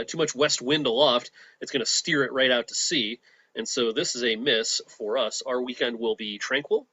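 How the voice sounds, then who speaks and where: A man's voice: medium pitch, sharp tone, no room sound, highs cut off. Chatty weatherman with a head cold. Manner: chatty, filler-heavy with frequent uhs and restarts. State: has a cold. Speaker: TV meteorologist. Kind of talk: casual weather explainer.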